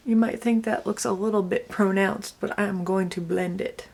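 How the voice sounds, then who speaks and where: Young female beauty vlogger, slight Southern accent, snarky and chatty, gravelly and husky. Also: medium pitch, fairly even intonation, normal speed, a little mellow. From Connecticut and the US South. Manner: snarky, chatty, muttering asides. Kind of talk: casual get-ready-with-me chat.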